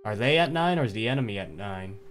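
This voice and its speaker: A man with a Canadian accent and a somewhat low voice chats through a game match as co-commentator. He is easygoing and chuckles often. Music plays clearly underneath. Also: expressive, fast. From Northern Canada. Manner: laid-back, chuckles often. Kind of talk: casual co-commentary.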